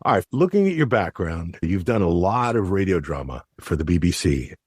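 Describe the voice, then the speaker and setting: A man's voice: low voice, very animated, moderate pace, darkish tone, slightly rough, no echo, studio quality. A man co-hosting a podcast, introducing the guest with enthusiasm and asking long, winding questions. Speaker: podcast co-host.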